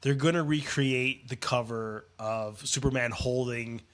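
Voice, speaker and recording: A man's voice: medium pitch, a little slow. A man co-hosting a podcast in casual chat, phlegmy from a cold, rambling with fillers. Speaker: podcast co-host.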